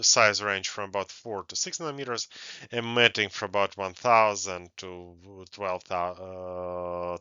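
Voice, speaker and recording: A man in his forties giving a scientific conference lecture in a halting, hesitant way with frequent pauses. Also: fairly low pitch, sharp tone, smooth, dry recording. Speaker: university researcher presenting. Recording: dull, muffled lo-fi audio.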